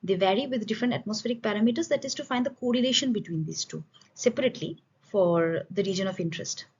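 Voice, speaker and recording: Husky woman giving an academic presentation. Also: mid-range voice, fast talker. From India. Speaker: student researcher presenting a study. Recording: dull, lo-fi voice recording.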